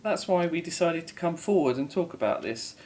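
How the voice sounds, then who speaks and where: A woman's voice: lowish voice, expressive, brisk, darkish tone, lightly acted. A woman acts out a weary middle-aged mother in an audio drama. She is grave and resigned, full of remorse. Some faint noise and ambience sits behind her.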